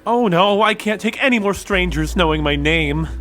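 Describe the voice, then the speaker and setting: A man's voice: high-pitched, expressive, moderate pace, a bit bright, soft background music. A man playing a wary, easily exasperated young man in an audio drama, speaking in a dry, defensive way. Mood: alarmed. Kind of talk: conversational audio drama acting.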